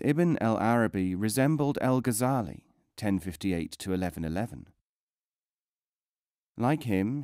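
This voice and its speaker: A man reading non-fiction as a scripted audiobook narration, in a measured, formal way with careful enunciation. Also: medium pitch, mellow, no room sound, clean studio sound.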